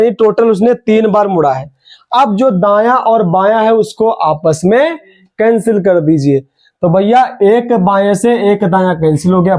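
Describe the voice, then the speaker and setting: High-voiced man teaching with a sore throat. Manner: energetic, urging students, repeats key words. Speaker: reasoning teacher. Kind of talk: online exam coaching class.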